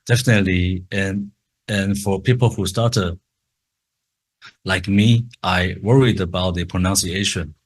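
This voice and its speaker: A man with a very low voice, speaking slowly and carefully in English. His is Chinese, and he stutters, repeating words and the starts of sounds. He is giving a talk at a conference. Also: husky. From Taiwan. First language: Mandarin Chinese.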